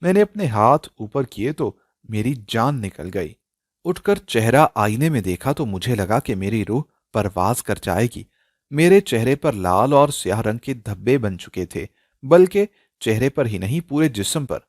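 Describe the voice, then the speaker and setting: A man narrating a scripted emotional story in a dramatic, expressive style. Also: mid-range voice, a little slow, no room sound, studio quality.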